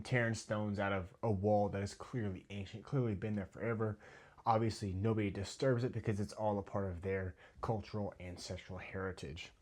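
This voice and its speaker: Heated American man, slightly low voice. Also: darkish tone. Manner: heated, halting with repeated restarts and sudden drops in volume. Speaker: video commentator. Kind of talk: casual monologue commentary.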